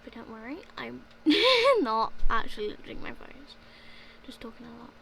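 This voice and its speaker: A woman's voice: on the high side, very animated, moderate pace, creaky, fairly bright tone, slight background noise, rough recording. A young woman narrating her gameplay in a casual voice-over, rambling and filling gaps with "like, yeah". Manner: rambling, filler-heavy, repeats "like, yeah". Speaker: gaming video creator.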